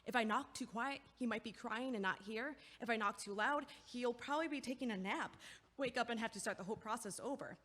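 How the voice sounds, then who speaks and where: A woman's voice: fairly high pitch, brisk, a bit bright. A woman playing a nervous, over-considerate young woman in an audio play, rambling and correcting herself as she over-explains. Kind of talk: audio play acting, comedic monologue. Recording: echoey hallway-like long reverb.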